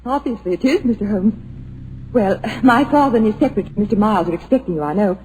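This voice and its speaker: A woman's voice: mid-range voice, animated, quick pace, darkish tone, slight background noise, natural voice, rough recording. Woman playing an anxious young lady. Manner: anxious, breathless. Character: nervous young woman, host's daughter. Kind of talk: 1940s radio acting.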